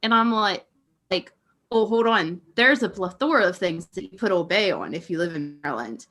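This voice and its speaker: A woman's voice: on the low side, animated, normal speed, fairly bright tone. A woman with a Pennsylvania Dutch accent, co-hosting a casual chat on a video call in an easygoing way. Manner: easygoing, repeats herself. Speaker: co-host.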